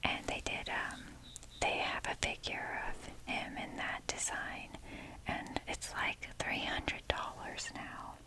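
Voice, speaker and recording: A woman whispering softly and breathily in a casual, chatty, self-deprecating ASMR ramble, with the odd mild swear. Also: takes it slowly. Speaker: ASMR video creator.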